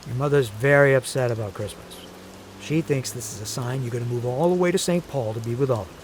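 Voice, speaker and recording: A man's voice: medium pitch, fast talker, lightly acted. An actor playing a fussy, protective, stubborn aging father in an audio drama, rambling and nagging in a natural way. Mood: dry, fussy. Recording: steady rain noise behind the voice.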